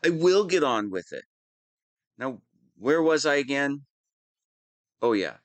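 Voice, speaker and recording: A man's voice: medium pitch, sing-song, slow, dry recording. A man playing a whiny, pompous wannabe supervillain who is easily flustered, in a scripted comedic audio roleplay. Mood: pompous, grandiose.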